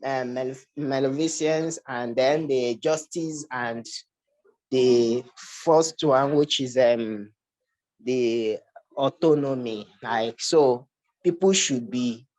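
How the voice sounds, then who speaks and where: Young Nigerian-accented research presenter, mid-pitched, hesitant, given to restarts and repeated words. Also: takes it slowly, darkish tone, rough recording. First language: Yoruba. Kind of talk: academic slide presentation.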